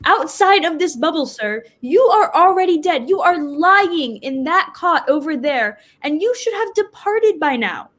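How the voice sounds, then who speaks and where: A very high-pitched, prim figure of Death, acted by a woman, speaks with formal precision. The manner is polite and businesslike, like an official doing a job in a staged play. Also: animated, a little slow, few pauses, a bit bright, exaggerated voice. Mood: prim, insistent. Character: prim, businesslike personified Death collecting a dying soul.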